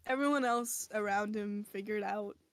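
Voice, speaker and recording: A tabletop game player in casual chat on a call, joking with deadpan, comic fake confusion. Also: mid-range voice, normal speed, no room sound, clean studio sound.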